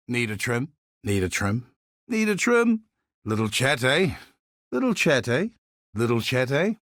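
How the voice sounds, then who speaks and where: A man's voice: mid-range voice, fairly bright tone, no echo, studio quality. An actor voicing a proud, earnest and wry islander man who seeks justice for his enslaved people, in scripted video game dialogue. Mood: casual, chirpy.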